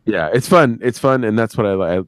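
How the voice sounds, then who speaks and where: Casual male podcast editor, low pitch, close dry sound, loose rambling delivery with repeated phrases. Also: animated, brisk, dry recording. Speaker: sound designer and editor for an audio drama. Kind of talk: casual conversation on a live stream.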